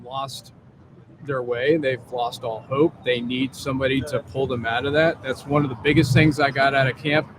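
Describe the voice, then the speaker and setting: A man's voice: medium pitch, flat delivery, moderate pace. A man working as a case manager, talking candidly and plainly about his past, outdoors. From Ohio, raised in Columbus. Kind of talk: casual outdoor interview. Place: outdoors on a bench by a street. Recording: street noise behind.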